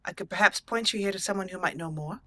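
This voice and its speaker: Woman roleplaying a bright-voiced, resolute elf mage. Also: medium pitch, lightly acted. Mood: polite. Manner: formal, measured wording. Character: resolute elf mage Grey Warden, dwarf-raised, courteous. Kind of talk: in-character fantasy roleplay.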